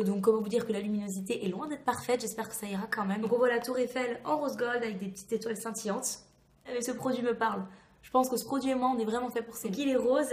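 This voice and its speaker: Casting: woman beauty vlogger, highish hoarse voice, chatty and enthusiastic, recorded in a reverberant room. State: hoarse, recovering from lost voice. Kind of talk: casual product review to camera.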